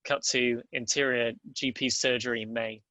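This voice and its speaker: A man's voice: mid-range voice, fairly even intonation, moderate pace, sharp tone, no echo. A man narrating stage directions at a script table read.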